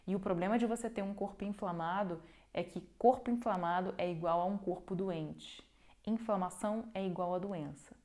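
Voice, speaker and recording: A woman's voice: medium pitch, darkish tone. A young woman hosting a casual nutrition livestream, talking emphatically and repeating her points with checking tags. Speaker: nutrition live host. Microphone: phone livestream.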